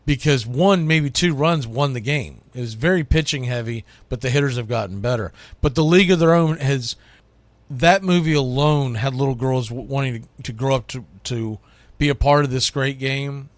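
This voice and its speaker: A man's voice: medium pitch, normal speed, dry recording. A middle-aged man hosting a sports talk monologue, speaking in an emphatic, folksy, conversational way like a play-by-play announcer working through a list. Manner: emphatic, announces each item loudly then drops into quieter musing. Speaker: radio host and baseball play-by-play announcer.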